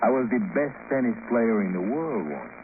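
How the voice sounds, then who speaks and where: An actor playing a fat, jovially bitter South American ex-tennis champion turned chauffeur in a 1950s radio drama, with a Latin accent and halting English punctuated by chuckles. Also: male voice, medium pitch, sing-song. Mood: wistful, amused. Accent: foreign, Latin. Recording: muffled, noisy 1950s radio recording.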